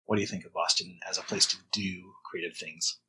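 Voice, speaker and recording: Male interviewer, notably low and rough-breathy, casual, feeling his way through questions. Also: fairly even intonation, fairly quick, bright, forceful tone, low-quality recording. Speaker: podcast host, interviewer.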